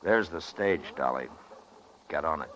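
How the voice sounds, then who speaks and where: Deep-voiced, stern western marshal. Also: male voice, animated, measured pace, a bit bright, full character voice. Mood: firm. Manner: terse, authoritative, dry. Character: stern, dry-witted, steady frontier marshal. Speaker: lead radio actor. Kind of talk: 1950s western radio acting. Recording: dull, noisy vintage radio recording.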